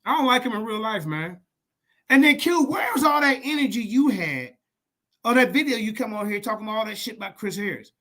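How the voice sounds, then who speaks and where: A man hosting a heated casual chat, loud, profane and confrontational. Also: high-pitched, expressive, fairly quick.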